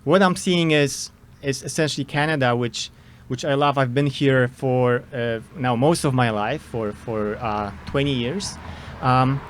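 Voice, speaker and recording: A man with a Polish accent introduces himself as a political candidate, his voice sitting in a middle pitch. He talks haltingly outdoors, with many fillers and restarts. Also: steady tone. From Poland. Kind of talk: unscripted debate introduction.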